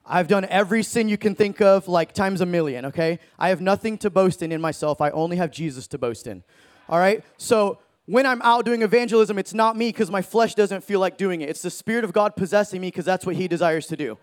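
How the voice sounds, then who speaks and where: A man in his thirties preaching a sermon with energy, joking with the crowd and shouting for emphasis. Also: high voice, a little fast. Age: 30s.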